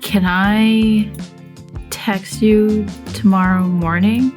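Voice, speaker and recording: A woman's voice: mid-range voice, steady tone, extremely slow, over music. A woman playing in a tabletop game, easygoing and laid-back. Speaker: player.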